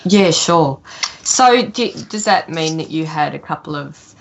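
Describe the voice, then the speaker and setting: Woman host, kinda low voice, fumbles her questions, says yep a lot. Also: animated, a little slow, vocal fry, slight background noise. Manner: hesitant questions, many short affirmations. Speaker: radio show host. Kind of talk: casual interview chat. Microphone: internet call.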